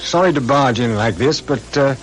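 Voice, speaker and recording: A man's voice: mid-range voice, expressive, normal speed, lightly acted. An actor playing a con man who feigns friendly concern, hesitant and apologetic, in old radio drama style. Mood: falsely reassuring. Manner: hesitant, apologetic, falsely reassuring. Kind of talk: old-fashioned British radio drama acting. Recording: noisy old radio drama recording, vehicle sounds.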